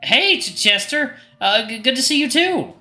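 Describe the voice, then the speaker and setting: A man's voice: very high voice, sing-song, moderate pace, bright, forceful tone, soft background music, pitched above the performer's own voice, heavily acted. A man voicing a flustered, self-deprecating newcomer in a story reading. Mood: flustered, self-scolding.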